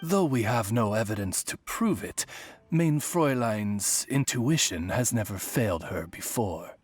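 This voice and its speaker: A man's voice: fairly low pitch, animated, measured pace, slightly rough, no room sound. A man voicing a loyal raven attendant in a video game, formal and courteous in his diction. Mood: composed. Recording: music playing behind the voice.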